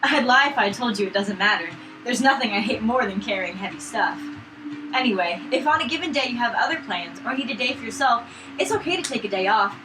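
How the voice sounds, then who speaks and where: A woman's voice: a little high, lively intonation, brisk, music in the background, lightly acted. A woman voicing a kindly, refined female flower shop owner, reading for a visual novel let's play. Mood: friendly. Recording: noisy room recording, no proper mic.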